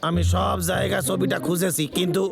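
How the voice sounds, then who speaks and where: A man playing a flustered, sentimental, pleading cartoon logger with an eastern Bengali dialect and excitable, whining delivery. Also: high-pitched, brisk, creaky. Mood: wistful. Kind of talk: cartoon dub acting. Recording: loud music under the voice.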